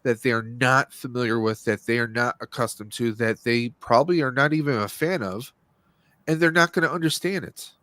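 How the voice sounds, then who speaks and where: A man's voice: mid-range voice, a little fast, bright, forceful tone, no room sound. A man hosting a casual movie review podcast, speaking in a blunt, opinionated and rambling way. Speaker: podcast host.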